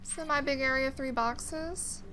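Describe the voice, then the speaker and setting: Higher-voiced woman doing gaming commentary. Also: unhurried, pushed and bright, a little hiss or hum. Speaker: gaming video host. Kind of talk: casual playthrough commentary, reading mission text aloud. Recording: game music behind the voice. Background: video game music.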